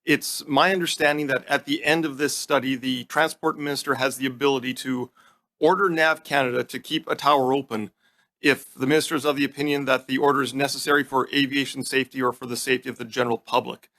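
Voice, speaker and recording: A man's voice: mid-range voice, fairly even intonation, fast talker, sharp tone. A man questioning officials as a committee member, polite and direct, in a formal, partly prepared style.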